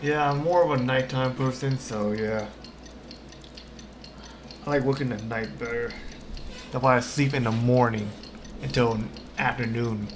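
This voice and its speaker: A man's voice: mid-range voice, fairly even intonation, unhurried, pauses often, a little hiss or hum. A man chatting casually on a gaming livestream, rambling and slangy as he talks to himself. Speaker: live streamer. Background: game music.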